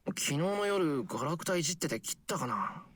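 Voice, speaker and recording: A man playing a calm, puzzled teenage schoolboy in a Japanese visual novel. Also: fairly high pitch, rapid-fire, husky.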